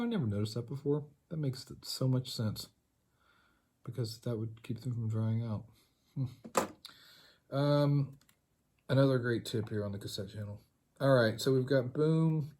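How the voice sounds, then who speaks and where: A man giving a casual hands-on tutorial, thinking aloud in a rambling, halting way and muttering to himself. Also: mid-range voice, expressive, warm tone. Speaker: hobbyist demonstrating tape editing.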